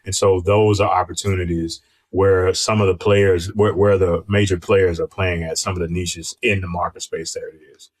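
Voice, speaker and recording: Deep-voiced man talking business. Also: level tone. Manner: halting, repeats and restarts phrases, often tags "right?". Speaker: podcast co-host. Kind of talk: conversational business commentary.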